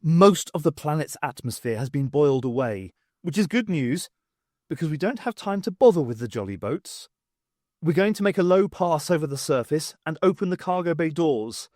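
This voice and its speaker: A man voicing a brisk, flippant woman professor leading a rescue in an audiobook. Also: on the high side, lively intonation, a little mellow, dry recording, clean studio sound, natural voice. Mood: brisk, wry. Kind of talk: audiobook character voice.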